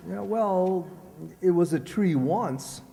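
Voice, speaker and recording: An actor playing a vain, jealous, hesitant husband in an old-style radio comedy. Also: male voice, sits high, slow, creaky, mellow, full character voice. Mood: defensive. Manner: boastful, hesitant, stammering. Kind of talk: old radio sitcom acting. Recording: long hall reverb.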